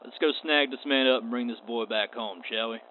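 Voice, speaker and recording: A man's voice: mid-range voice, a little fast, close to an everyday voice. A man playing a courteous, unflappable wandering space cowboy in a radio play, with a laconic drawl. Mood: wry, agreeable. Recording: tinny band-limited audio with clear noise.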